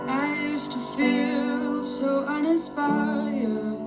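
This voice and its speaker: A teenage girl singing a slow ballad over piano, her voice raspy, from an old phone memo. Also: high voice, fairly even intonation, reverberant, voice pushed up, rough recording.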